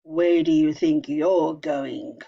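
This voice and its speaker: Lady doing a mean old storybook troll, low growly voice, drags every word out, sounds kinda muffled and husky. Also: steady tone, mellow, exaggerated voice. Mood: menacing. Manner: menacing, slow and booming. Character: nasty ugly old male troll under a bridge. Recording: dull lo-fi recording.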